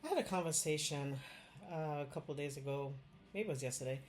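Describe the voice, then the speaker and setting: Low-voiced Jamaican woman doctor. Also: brisk. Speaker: Chinese medicine doctor. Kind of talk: direct-to-camera health talk.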